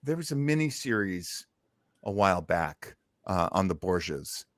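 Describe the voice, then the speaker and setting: Male podcast host, casual wisecracking commentary, forward bright tone, dry close sound. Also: sits low, big pitch swings, a little slow, no room sound. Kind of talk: casual chat commentary on a radio play.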